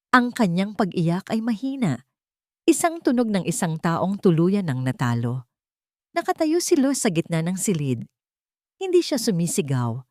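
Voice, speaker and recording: A woman narrating a dramatic audiobook story from a script in a deliberate, emotive storytelling manner. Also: medium pitch, darkish tone, no room sound, studio quality.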